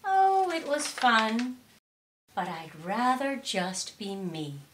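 A woman voicing a wistful young female pony with expressive, theatrical storybook delivery. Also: fairly high pitch, takes it slowly, echoey room, slight background noise.

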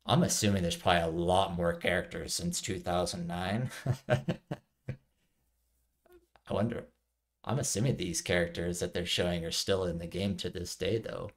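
A middle-aged man giving casual reaction commentary as a video host, musing aloud as he watches. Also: low-pitched. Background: trailer music.

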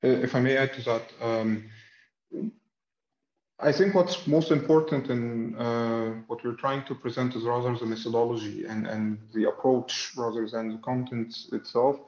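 A man presenting research in an online scholarly discussion, answering in formal wording with hesitant pauses. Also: medium pitch, steady tone, a little mellow. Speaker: academic researcher, lecture presenter. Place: indoor room on video call. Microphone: video call, sitting back from camera. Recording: dull, roomy video-call audio.

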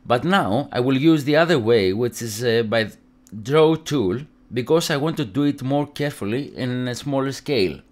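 A young man from Greece with a Greek accent presents an instructional tutorial in halting, non-native English phrasing. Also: mid-range voice, expressive. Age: young adult.

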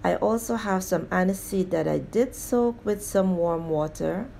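A woman hosting a home cooking video, calmly narrating each step of a recipe and speaking to viewers as guys. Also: fairly low pitch, unhurried, vocal fry, a little hiss or hum. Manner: plain, steady instructional tone addressing viewers as guys. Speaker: home cooking show host.

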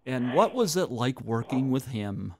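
Male host and movie reviewer, casual interview, halting delivery. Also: medium pitch, big pitch swings, darkish tone, slight background noise. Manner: halting, many ums.

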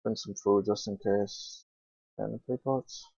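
Low-voiced teenage guy, muffled lo-fi mic. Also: steady tone, unhurried, vocal fry, darkish tone, breathy edge, no room sound. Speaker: gaming video creator. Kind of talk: casual rambling gameplay commentary. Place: indoors at a computer, screen recording. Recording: dull, lo-fi, dry computer mic.

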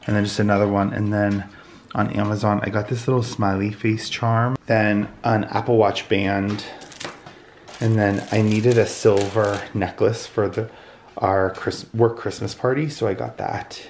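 A man's voice: on the low side, little pitch movement, a little slow, a little mellow, a little hiss or hum. An American man in his thirties chatting casually in a vlog, chatty, his voice congested and giving out from a cold. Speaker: vlogger. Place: indoors at home. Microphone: handheld phone or camera at arm's length.

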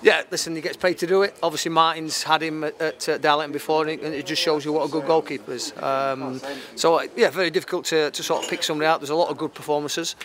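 A man in his fifties giving an unscripted post-match football interview, matter-of-fact and halting, repeating phrases as he goes. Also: fairly high pitch, fairly even intonation, crowd noise. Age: 50s. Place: outdoors at a football ground at night. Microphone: handheld interview microphone. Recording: clear outdoor background noise.

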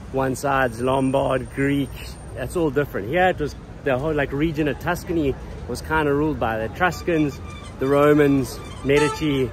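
A young man talks in a casual, chatty way as he guides viewers through town, his voice sitting a bit high for a man. Steady street noise runs behind him, recorded outdoors on a handheld camera mic. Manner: chatty, informal, playful teasing. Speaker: travel vlogger. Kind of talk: casual travel vlog narration.

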